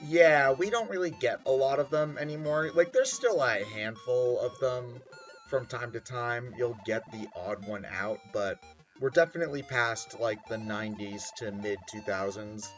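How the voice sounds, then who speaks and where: A man's voice: mid-range voice, moderate pace, faint music behind. A man giving casual commentary on a game in a group chat.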